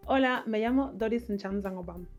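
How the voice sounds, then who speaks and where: A woman's voice: medium pitch, moderate pace, darkish tone. A woman from Equatorial Guinea explains her view as a documentary interviewee. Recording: music under the voice.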